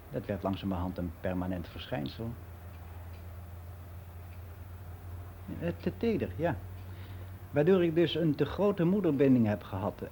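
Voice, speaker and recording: Mellow-voiced, candid older man, played by an actor. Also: mid-range voice, a little hiss or hum, lightly acted. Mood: reflective, hesitant. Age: 60s. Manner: frank, reflective, halting with self-corrections. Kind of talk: confessional monologue in a radio play.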